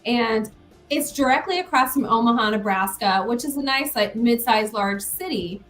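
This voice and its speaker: A woman's voice: on the high side, expressive, normal speed, fairly bright tone, soft background music. Laughing woman guest from Iowa. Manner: friendly, laughs often. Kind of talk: casual interview.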